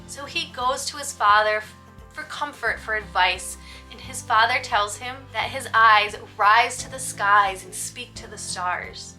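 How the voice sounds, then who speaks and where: A woman presents book recommendations in an upbeat, scripted style. Her voice sits fairly high and is very bright, with presenter-like stress on key words. Music plays behind her. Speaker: book reviewer and host for teachers. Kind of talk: upbeat scripted book review narration to viewers.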